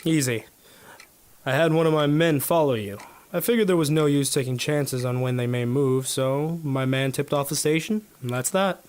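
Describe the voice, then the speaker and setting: Man playing a higher-voiced earnest police detective. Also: normal speed, a little mellow, clear, resonant tone, close to an everyday voice. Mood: friendly, grateful. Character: earnest, modest male 1950s Chicago police detective. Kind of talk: 1950s noir radio drama acting.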